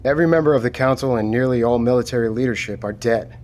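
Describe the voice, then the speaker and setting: A man playing a steady, matter-of-fact military lieutenant taking charge after a disaster in an audio drama. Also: mid-range voice, fast, close to an everyday voice. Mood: grave, reassuring.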